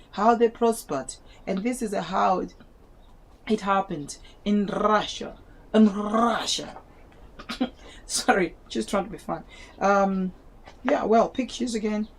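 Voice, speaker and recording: A woman's voice: mid-range voice, a little mellow, low-quality recording. A woman with a South African and Malawian English accent, not a native English speaker, reads a history book aloud to her viewers with wondering asides and often stumbles and repeats words. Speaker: vlogger reading aloud.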